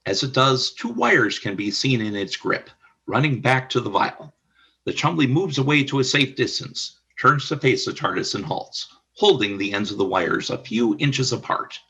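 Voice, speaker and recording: A man narrating a radio play in a measured, announcer-like way. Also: mid-range voice, lively intonation, creaky, bright, forceful tone, slightly rough.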